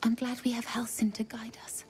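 An actress playing a worried, solemn woman of a druid grove in a video game, speaking in a hushed, slow way. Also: fairly high pitch, completely flat delivery, soft background music, natural voice. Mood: somber, relieved. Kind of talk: dramatic game dialogue.

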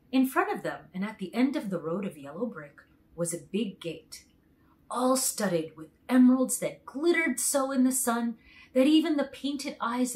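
A middle-aged woman reading a children's story aloud in an expressive, clearly paced way. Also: medium pitch. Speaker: storytime reader.

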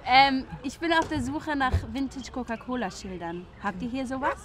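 An actress plays a confident young woman in a naturalistic film scene. Her voice is fairly high and her manner playful and teasing, with clear noise behind her. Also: expressive, normal speed. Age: young adult. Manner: playful, forward. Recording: clearly noisy location background.

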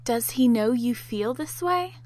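A woman's voice: a little high, animated, unhurried, a little mellow, dry recording, clean studio sound. A woman playing a guarded, easily exasperated young woman in a fan audio drama. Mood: friendly. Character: exasperated young woman, mayor's daughter, guarded about boys.

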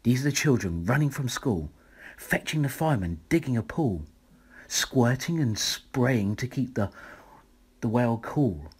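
A man reading a rhyming children's picture book aloud as a bedtime story, in a measured, dramatic way. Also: medium pitch, animated, darkish tone, breathy edge.

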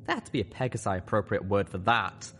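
A narrator reading a scripted story in the first person, with measured, expressive delivery. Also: sits low, a little hiss or hum. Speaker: audiobook reader.

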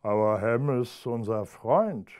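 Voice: mid-range voice, expressive, takes it slowly, a little mellow. A reader doing Chow, naive little puppy. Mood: puzzled. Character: naive, worried young male puppy. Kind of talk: storybook character voice.

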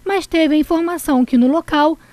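A woman reporting on the news, narrating the story in a clear, measured voice-over. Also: high voice, a little mellow, dry recording.

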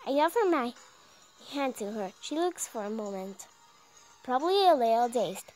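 A young woman reading a visual novel aloud, halting and stumbling over words as she narrates dramatically. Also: fairly high pitch, animated, darkish tone, rough recording. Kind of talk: dramatic read-aloud of game text. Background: music.

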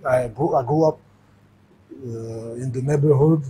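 Brief: French-accented man in his forties, husky mellow voice, halting speech full of fillers, seated in a gym ring. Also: mid-range voice, poor audio. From Cameroon. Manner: halting, simple phrasing with many fillers. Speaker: guest, former fighter. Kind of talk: casual interview.

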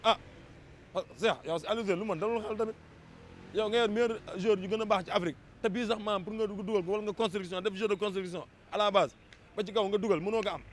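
A man's voice: sits high, steady tone, quick pace. A Senegalese man giving an impassioned, emphatic opinion in a street interview, often repeating his words. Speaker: street interviewee, football fan. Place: busy city street, outdoors. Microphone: handheld interview microphone. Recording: outdoor street noise. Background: traffic and street ambience.

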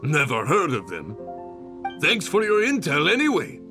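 An actor playing a hearty, boisterous male leader of an adventurers' guild in a video game, with drawn-out delivery. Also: on the high side, huge pitch swings. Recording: game music behind the voice.

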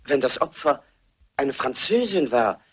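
A halting, evasive man in a radio whodunit. His voice is husky and mid-pitched, and the recording sounds muffled. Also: sing-song, a bit bright, full character voice. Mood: musing. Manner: halting, defensive. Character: hesitant, evasive brother in a murder mystery. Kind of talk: German radio mystery play acting.

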